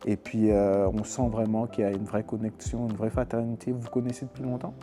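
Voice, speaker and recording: A man's voice: lowish voice, flat delivery, brisk. A man hosting a podcast, chatting informally and often repeating his words and phrases. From France, Paris suburbs. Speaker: podcast host. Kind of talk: casual interview chat.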